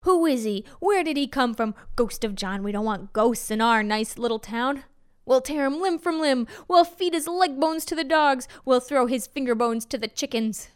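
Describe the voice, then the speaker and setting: A woman voicing angry, fearful townsfolk in a storybook tale, forceful and accusatory. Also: fairly high pitch, normal speed, few pauses, clean tone, no echo, studio quality, close to an everyday voice. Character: angry small-town mob, hostile and fearful.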